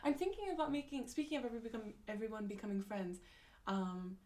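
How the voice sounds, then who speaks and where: A woman's voice: on the high side, expressive, quick pace, darkish tone. A young woman chatting casually on a live stream, reading out comments with lots of fillers, restarts and laughs. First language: not Japanese.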